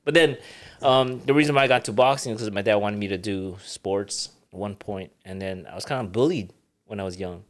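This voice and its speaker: A man's voice: on the low side, a little fast. A man in his forties whose first language is Ilocano, telling stories in a laid-back, joking way with lots of fillers. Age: 40s. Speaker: podcast guest, retired boxer. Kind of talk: casual interview chat.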